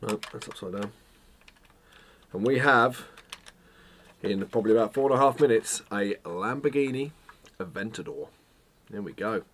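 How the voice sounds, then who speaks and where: A man, chatting casually to camera as a photography vlogger, self-mocking and full of jokey asides, a little hoarse from a cold. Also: on the low side, normal speed, long pauses, a little hiss or hum. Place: home studio room, indoors.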